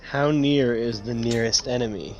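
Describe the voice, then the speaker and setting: A man's voice: medium pitch, moderate pace. A man talking casually as a player in a tabletop roleplaying game, stating his moves. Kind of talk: casual in-game play talk. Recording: dull lo-fi mic, music behind.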